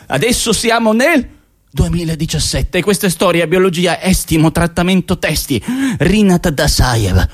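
A man performing a comic theatrical radio monologue, declamatory and emphatic, stretching some words and rushing others. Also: high-pitched. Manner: declamatory, emphatic, with drawn-out words and sudden rushes. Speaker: actor, monologist.

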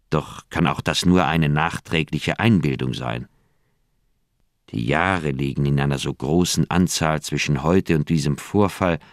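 Radio drama: grave older male doctor, very low voice, close dry sound, deliberate delivery of a haunted recollection. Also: dry recording. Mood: haunted, brooding. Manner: measured, deliberate, literary phrasing with dramatic pauses. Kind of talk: German radio play monologue, recollection.

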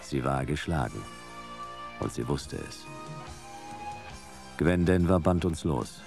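A hard-boiled male detective with an extremely low, gravelly voice. He narrates a noir radio play in dry, clipped, deadpan phrases, with music clearly behind him. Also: slow, exaggerated voice. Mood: deadpan narrating. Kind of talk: noir first-person narration in a radio drama.

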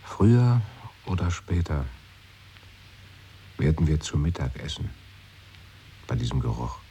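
A very deep-voiced, brooding man. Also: very animated, measured pace, warm tone, a little hiss or hum, full character voice. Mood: wistful. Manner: musing, often drops to a whisper. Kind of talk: 1980s radio play acting.

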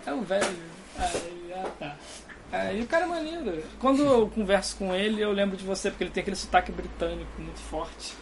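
A man's voice: sits high, expressive, a little fast, background noise. A young man from Brazil with a Carioca accent, chatting casually and rambling, laughing often, talking from a dorm room. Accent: Carioca Brazilian Portuguese. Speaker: guest. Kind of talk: casual chat.

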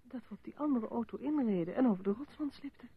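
An actress playing an anxious but stubborn journalist's wife in a Dutch radio play. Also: female voice, a little high, lively intonation, fast talker, slightly rough, no echo. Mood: defiant. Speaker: actor. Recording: dull, muffled old radio recording.

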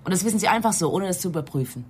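An actress playing a sceptical, forthright police detective in a German radio drama, with a pointed, questioning manner. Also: female voice, on the low side, animated, very fast, a little mellow, a little hiss or hum, natural voice. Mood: probing, businesslike.

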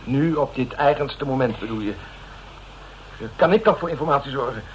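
A man's voice: mid-range voice, normal speed, close to an everyday voice. Man playing a cynical, evasive suspect, faintly noisy recording. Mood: sardonic. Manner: colloquial, sardonic, hesitant when cornered. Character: cynical, evasive male suspect, streetwise and defensive. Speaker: actor. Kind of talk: Dutch radio drama dialogue. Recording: noisy old radio drama recording.

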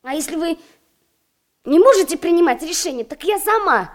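A woman's voice: very high-pitched, lively intonation, normal speed. A woman playing a stubborn, pert young schoolgirl in a radio play, with a childlike manner. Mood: defiant. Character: cheeky, stubborn, self-righteous young schoolgirl.

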